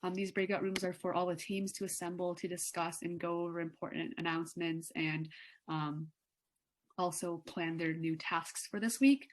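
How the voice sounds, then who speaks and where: A teenage woman leading a student team meeting over a video call, relaxed and full of fillers. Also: lowish voice, moderate pace. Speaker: meeting leader. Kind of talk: casual online meeting.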